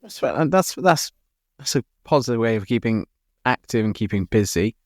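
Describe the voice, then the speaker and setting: A man's voice: medium pitch, animated, moderate pace, a little mellow, no room sound, studio quality. A man hosting a podcast interview, asking questions and repeating words as he gathers his thoughts. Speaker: podcast host.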